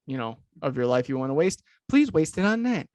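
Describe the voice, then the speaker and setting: A man hosting a podcast, talking excitedly and rambling with many restarts. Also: mid-range voice, a little fast, no echo. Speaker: podcast host. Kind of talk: casual podcast chat.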